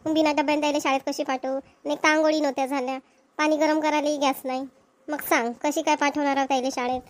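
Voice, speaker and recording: A high-pitched cartoon village housewife, played by a woman, harried and full of complaints. She chats and grumbles repetitively in a Vidarbha Marathi accent. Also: little pitch movement, fast talker, lightly acted. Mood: complaining. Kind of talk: amateur cartoon comedy dialogue.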